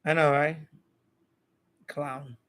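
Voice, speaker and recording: A man's voice: fairly high pitch, level tone, very slow, fairly bright tone. A man hosting a casual live stream, talking informally to his chat in a rambling way and often repeating his phrases. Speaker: live stream host of a radio hobby channel.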